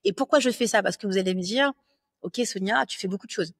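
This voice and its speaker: Woman, French, thirties, interview guest, medium pitch, confident, fluent, fillers and restarts, dry close sound. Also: fast, husky, dry recording. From France. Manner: fluent, self-assured, many fillers and self-corrections. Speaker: business lawyer guest. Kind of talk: interview conversation.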